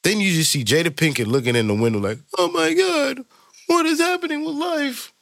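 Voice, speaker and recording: A young Haitian American man co-hosting a casual podcast chat, telling movie stories and putting on high mocking voices for quotes. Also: a little fast, vocal fry, a bit bright, dry recording, clean studio sound. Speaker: podcast co-host.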